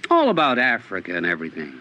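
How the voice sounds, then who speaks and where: A man's voice: low voice, wildly swooping pitch, quick pace, heavily acted. An actor playing an uneasy, stern father in a 1950s radio drama, speaking in a firm, wry, clipped way. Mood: probing, uneasy. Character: uneasy, stern suburban father, middle-aged, losing patience. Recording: muffled, faintly noisy old radio recording.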